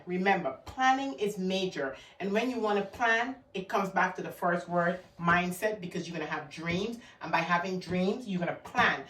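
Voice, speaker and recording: A woman's voice: medium pitch, fairly bright tone, recorded from a distance. Emphatic Guyanese woman in an echoey room. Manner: emphatic, repeats key words. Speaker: motivational vlogger. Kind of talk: motivational talk to camera.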